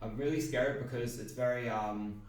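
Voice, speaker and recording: A man's voice: medium pitch, normal speed. Northern Irish young man in an echoey room. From Northern Ireland. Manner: casual, hesitant questions. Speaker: interviewer. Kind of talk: casual interview. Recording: very echoey room with faint noise.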